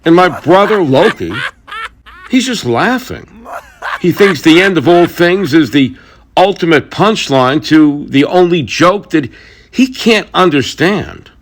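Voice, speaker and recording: A man playing a weary, brooding thunder god in a mythic audio drama, confiding and halting in his burdened role as protector. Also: fairly high pitch, animated. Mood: bitter, exasperated.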